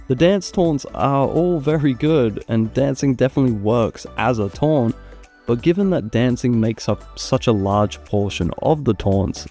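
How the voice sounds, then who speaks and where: A man hosting a gaming video, narrating a review in an expressive, opinionated way into a close mic. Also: mid-range voice, gravelly. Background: background music.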